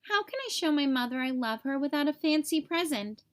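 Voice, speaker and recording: Storybook young boy turtle read by a woman: high voice, sincere, full of questions. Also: animated, brisk. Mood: earnest, puzzled. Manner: earnest, questioning. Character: earnest little boy turtle in a picture book.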